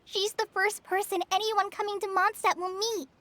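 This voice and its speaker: High-voiced, shy teenage girl. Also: fairly even intonation, normal speed, pushed and bright, no room sound. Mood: admiring. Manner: hesitant, polite, apologetic. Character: shy, earnest, apologetic teenage girl forest ranger trainee. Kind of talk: anime-style video game voice acting.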